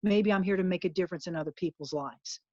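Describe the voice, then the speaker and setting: A woman's voice: fairly low pitch, fast, mellow, no echo. A woman guest in an interview, speaking in an earnest, emphatic and motivational way. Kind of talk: candid interview talk. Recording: dull lo-fi dry sound.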